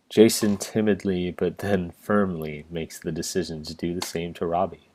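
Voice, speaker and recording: A man's voice: deep voice, darkish tone. A man narrating a staged play reading, reading out the stage directions as scripted narration.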